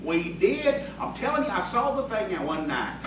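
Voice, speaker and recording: A man's voice: high-pitched, very animated, moderate pace. A man playing a boastful, defensive frontier tavern drunk in an audio play, with a rural American accent and a folksy, insistent, rambling way of talking. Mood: earnest storytelling. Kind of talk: audio theatre acting. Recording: roomy, distant, noisy stage recording.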